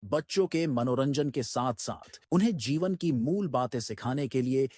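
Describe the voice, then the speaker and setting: A man's voice: medium pitch, expressive, moderate pace, a little mellow, no echo, studio quality. A man reading a scripted promotional announcement for a children's channel.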